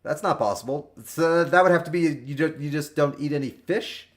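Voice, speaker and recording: A man in his twenties chatting casually with viewers while livestreaming a video game, joking and animated. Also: a little high, moderate pace. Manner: animated, joking, talks to chat by name. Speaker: game streamer.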